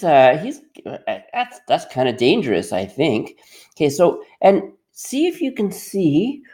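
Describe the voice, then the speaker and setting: A man's voice: high voice, creaky, darkish tone. A middle-aged man leading an interactive read-aloud for young children as their teacher, encouraging them and repeating his questions. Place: quiet workshop at home.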